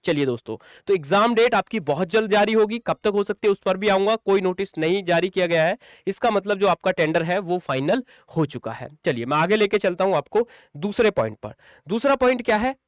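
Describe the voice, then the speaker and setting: A man speaks as a coaching teacher in a high, smooth voice. He explains things informally and emphatically, often repeating a phrase to drive it home. The sound is dry and close, with very little room. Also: expressive, brisk, no echo. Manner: repetitive, emphatic, addresses listeners as brother and friends. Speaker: online exam-coaching teacher. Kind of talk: informal exam strategy lecture to students.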